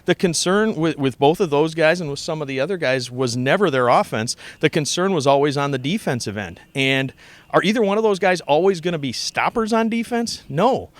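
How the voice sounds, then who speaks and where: A man's voice: on the high side, lively intonation, brisk, dry recording. A man who works as a radio sports announcer, giving emphatic conversational analysis and repeating phrases for stress.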